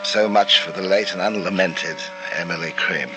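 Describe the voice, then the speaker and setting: A deep, low-voiced doctor with a killer's calm, as an actor plays him, narrating his own crimes with sly, chilling asides and a suave, falsely sorrowful charm. An old radio drama recording with music running underneath. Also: moderate pace, close to an everyday voice, low-quality recording. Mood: cold. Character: smooth murderous doctor narrating coldly. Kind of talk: 1940s radio acting.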